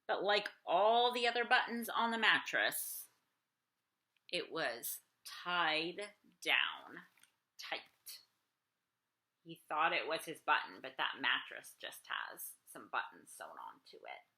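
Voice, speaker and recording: Bright-voiced woman reading to children, unhurried. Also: deep voice, very animated. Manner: theatrical, pausing to explain the pictures simply. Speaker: teacher. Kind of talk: expressive children's picture book read-aloud.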